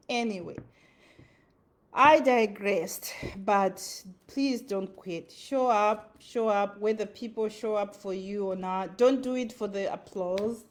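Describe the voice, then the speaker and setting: A woman with a Zimbabwean accent giving an earnest motivational talk, repeating her phrases for emphasis. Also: medium pitch. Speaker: motivational speaker. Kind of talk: motivational monologue.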